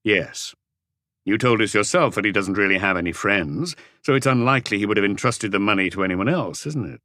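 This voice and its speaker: A man voicing a calm, dogged police chief inspector in an audiobook, measured and laconic. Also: on the low side, no echo, studio quality. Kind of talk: audiobook character voice.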